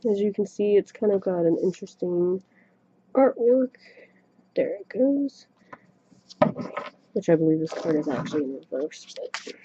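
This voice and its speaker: A soft-spoken woman giving a tarot reading to camera at home, chatty and a little self-conscious, recorded on a webcam microphone. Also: lowish voice, creaky, noisy background. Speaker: tarot reader. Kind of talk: casual solo reading to camera. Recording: dull lo-fi webcam mic recording.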